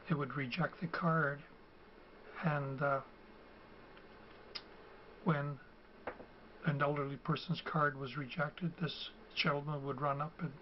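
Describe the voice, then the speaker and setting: An older man who has a cold and is losing his voice, explaining things slowly and haltingly with many ums and uhs. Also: a little high. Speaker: video host. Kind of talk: casual explanatory talk. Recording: dull, lo-fi home recording with faint ambient noise.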